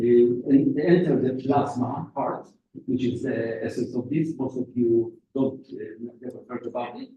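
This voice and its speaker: Guy giving a physics lecture, kinda high mellow voice, stops and restarts a lot, far off in a big echoey room. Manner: halting, restarts phrases. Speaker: guest lecturer.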